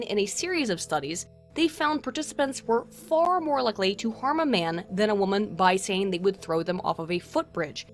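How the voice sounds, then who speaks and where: Woman narrating with lively, swinging pitch. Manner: formal, wordy academic phrasing with wry asides. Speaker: host of a video essay. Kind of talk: scripted narration, explanatory video essay. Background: music.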